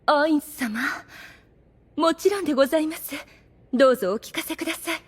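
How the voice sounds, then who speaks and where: Woman playing a high-voiced, adoring refined demoness. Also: fairly quick, natural voice. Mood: poised, deferential. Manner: very formal, deferential, fervent. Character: refined, adoring demoness, devoted female second-in-command. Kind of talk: Japanese anime audio drama acting.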